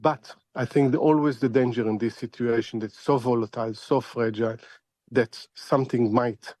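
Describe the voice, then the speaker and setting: Halting male analyst, dry close sound. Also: medium pitch, steady tone, a little mellow, no echo. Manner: halting, repeats words as he searches for phrasing. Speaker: foreign affairs analyst, interview guest. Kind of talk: live interview commentary.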